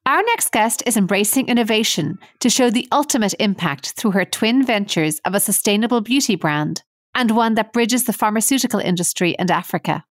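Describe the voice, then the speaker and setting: A woman hosting an interview podcast, reading scripted introductions and asking lively questions. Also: medium pitch, a little fast, no room sound, clean studio sound. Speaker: podcast host.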